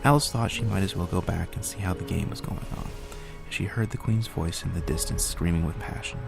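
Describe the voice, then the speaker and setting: A man reading a bedtime story in a steady, unhurried way. His voice is very deep and heavily gravelly. Soft background music plays throughout.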